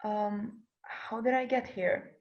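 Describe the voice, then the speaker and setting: A woman's voice: fairly high pitch, steady tone, slow, lo-fi sound. A woman reading the part of a confused, hesitant newly dead woman in her late twenties at a script table read.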